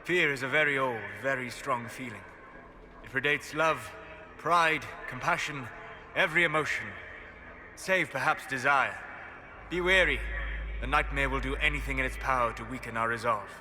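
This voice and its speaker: Calm scholarly mage, noisy background. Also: male voice, mid-range voice. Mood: grave explaining. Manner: measured, lecturing. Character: calm, scholarly male elf mage advisor. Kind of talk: video game dialogue acting. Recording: game audio with noisy ambience.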